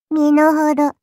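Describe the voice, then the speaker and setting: A tiny, imperious oni godling for a game. The voice is very high and childlike, swinging widely in pitch at a slow drawl. She teases playfully in haughty, old-fashioned speech, in a dry, close sound. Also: pushed and bright, no echo, exaggerated voice. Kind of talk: game character voice lines.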